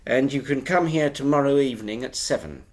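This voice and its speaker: A man's voice: mid-range voice, fairly quick, natural voice. An actor playing a pompous, avuncular, slyly jovial male employer in a period radio drama, with a patronising, theatrical manner. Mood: pompous, businesslike.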